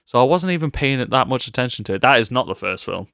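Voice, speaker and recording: A man's voice: medium pitch, lively intonation, quick pace, vocal fry, a bit bright, clean tone, no room sound, clean studio sound. A man co-hosting a casual film podcast, giving exasperated, emphatic opinions. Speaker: podcast co-host.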